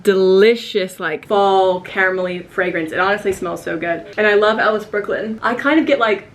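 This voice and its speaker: A woman's voice: medium pitch, slight background noise. An American woman vlogging casually to camera, a little tipsy, chatty and rambling. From United States. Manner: chatty, giggly, rambling with fillers. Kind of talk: casual vlog chat to camera. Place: hotel room indoors.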